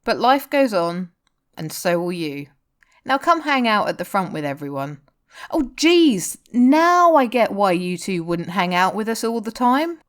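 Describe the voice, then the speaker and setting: A blunt rock singer who teases and looks after his bandmate , played by a woman reading fiction aloud. The character dialogue is pitched somewhat above plain narration. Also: very animated, unhurried, smooth. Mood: reassuring, then teasing. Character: adult male rock singer, blunt, teasing, caring bandmate. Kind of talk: audiobook-style character dialogue.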